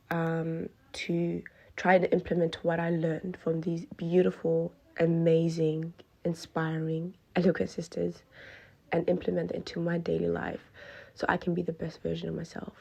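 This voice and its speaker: A woman's voice: lowish voice, gravelly, husky. A woman from Western Australia, sending an earnest, devout voice memo to a podcast, with thanks and prayers for its host. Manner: earnest, devout, softly spoken. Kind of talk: heartfelt recorded voice message.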